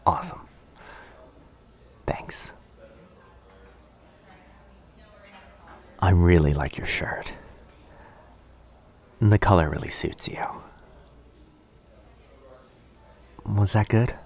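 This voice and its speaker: A man acts out a shy, bookish young man in a boyfriend ASMR audio drama. His voice is low, with a creaky fry at its edges. He speaks softly and breathily, hesitant and gently nervous. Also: takes it slowly, pauses often. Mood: bashful. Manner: hesitant, soft-spoken, breathy, self-effacing. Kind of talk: intimate boyfriend ASMR roleplay.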